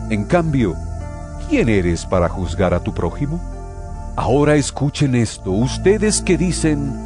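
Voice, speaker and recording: A man playing a stern, fervent apostle in an audio Bible drama, reading scripture in a solemn, emphatic and deliberate way. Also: medium pitch, mellow. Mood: stern exhortation, then admonishing. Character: stern, fervent male apostle exhorting believers. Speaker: narrator reading a Bible epistle. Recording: studio audio drama with music behind. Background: music.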